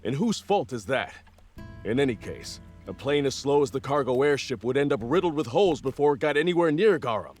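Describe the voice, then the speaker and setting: A man's voice: mid-range voice, expressive, a little fast, a little mellow, soft background music, natural voice. A man playing a stern, skeptical military man in a video game, speaking in a clipped, practical way. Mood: grim, analytical. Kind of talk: video game cutscene acting.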